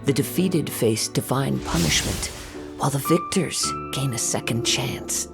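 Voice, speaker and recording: An actor voicing a calm, poetic young wandering samurai in a video game, speaking in a measured, reflective way. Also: male voice, medium pitch, gravelly. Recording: game music behind.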